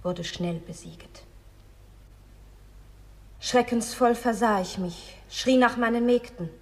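A woman's voice: on the high side, slow. An actress playing a sorrowful captive queen who gives witness in poetic radio play verse. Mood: lamenting. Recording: long hall reverb.

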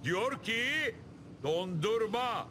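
A man's voice: sits high, animated, very slow, over music, full character voice. A man voicing a big, silly, gluttonous elephant who plays bumbling detective in a cartoon, dopey and self-important. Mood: goofy, cheerful.

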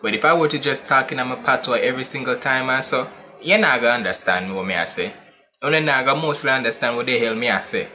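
A man's voice: medium pitch, fast, bright, forceful tone. A man in his twenties from Jamaica with a Jamaican accent, giving casual, rambling, slangy commentary as a reaction video host in a room at home. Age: 20s. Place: small indoor room at home.

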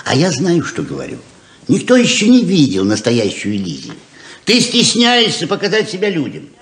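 A man's voice: a little high, huge pitch swings, moderate pace, fairly bright tone, full character voice. An actor playing a stubborn, loving middle-aged father in a 1970s Soviet radio play, emphatic and persuasive, moving between tenderness and insistence. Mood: tender insistence. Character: coaxing, stubborn, loving father of grown children. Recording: roomy 1970s radio drama recording.

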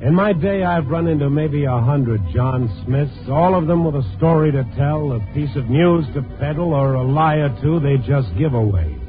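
A man's voice: fairly high pitch, normal speed, hardly any pauses, natural voice. Old tinny radio show w music, guy acting a hard-boiled night beat reporter, wry and world weary, 50s style. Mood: matter-of-fact. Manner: lyrical, terse, hard-boiled. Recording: tinny 1950s radio recording.

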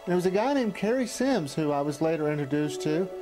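A man's voice: on the high side, lively intonation, fast. A man in his forties from Texas narrates a documentary, reminiscing as an amateur filmmaker. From Texas, United States. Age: 40s. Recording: music under the voice. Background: music.

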